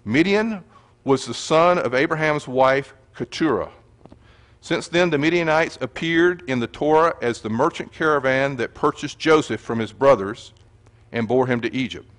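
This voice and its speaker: A man's voice: mid-range voice, a bit bright. A man gives an earnest sermon-style teaching and reads scripture aloud to a congregation. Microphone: handheld or stand mic.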